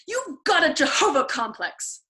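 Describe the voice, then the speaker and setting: A woman reading aloud, voicing an excitable, self-righteous young male airman who argues in a heated, shrill and emphatic way. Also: a little slow, heavily acted.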